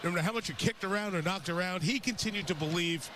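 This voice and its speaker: A man's voice: sits high, fast talker. A man with an American accent calling football play-by-play on a broadcast, mixing calls with storytelling. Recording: game broadcast audio under loud noise.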